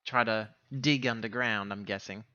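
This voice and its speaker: Male game player, mid-pitched and bright, reasons aloud with pauses, lo-fi mic. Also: steady tone. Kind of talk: casual game-table chat.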